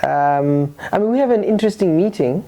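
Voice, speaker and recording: A man's voice: sits high, lively intonation, warm tone. A man hosting an interview podcast as a psychotherapist, talking casually with many restarts and fillers. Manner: halting, repeats words, frequent fillers and restarts.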